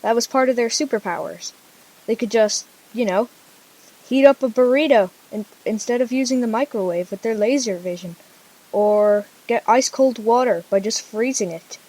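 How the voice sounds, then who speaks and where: A woman's voice: fairly high pitch, moderate pace, mellow, low-quality recording. A female storyteller narrating an amateur radio drama informally, with casual conversational asides.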